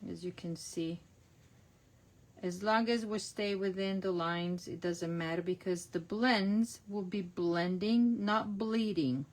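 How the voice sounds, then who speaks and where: A woman's voice: fairly low pitch, slow. A middle-aged woman from Italy with an Italian accent, chatting casually through a stamping and card-making class as she works. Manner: chatty, narrates each step, self-correcting. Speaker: crafting demonstrator. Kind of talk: casual online craft class.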